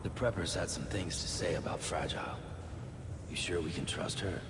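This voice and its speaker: Loud noise and echo surround a guarded, gruff man. The voice is gravelly and skeptical, pitched for a game cutscene. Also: moderate pace. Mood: suspicious. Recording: echoey space, loud background noise.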